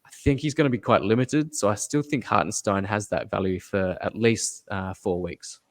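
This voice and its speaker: A man co-hosting a fantasy basketball podcast, giving measured advice with fillers and repeating his main points. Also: lowish voice. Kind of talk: casual podcast analysis.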